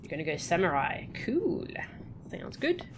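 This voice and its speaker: Chatty woman streamer, lowish voice, background noise. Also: huge pitch swings, measured pace. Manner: chatty, playful, mutters to self and chat. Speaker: game streamer. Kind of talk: casual live gameplay commentary, reading out game dialogue.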